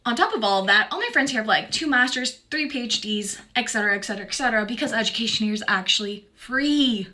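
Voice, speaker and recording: A woman from Los Angeles telling a story to camera, lively with sarcastic asides. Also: fairly high pitch, fast, few pauses.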